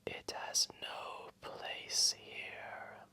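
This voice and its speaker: A man voicing an ancient, wise and gentle old dragon in a gravelly, breathy voice, telling a bedtime story slowly and soothingly. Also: full character voice. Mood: soothing, hushed. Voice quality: gravelly, breathy, often whispered. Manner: slow, solemn, soothing, deliberate. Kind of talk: slow bedtime storytelling, ASMR.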